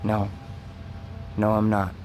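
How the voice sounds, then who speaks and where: An actor playing Death as a calm, gentle man in a radio drama, measured, soft-spoken and quietly insistent. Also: lowish voice, noisy background. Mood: calm, frank. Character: calm, gentle, persuasive Death in a man's form. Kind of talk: dramatic radio play acting.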